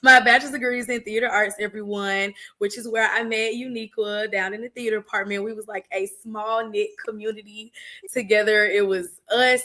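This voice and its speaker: A woman's voice: medium pitch, fairly even intonation, brisk, bright, forceful tone. A young woman with an Atlanta Southern accent, introducing herself as an interview guest in a playful, chatty, familiar way. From Georgia, United States. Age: young adult. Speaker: interview guest, school counselor. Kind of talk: casual chat introducing herself.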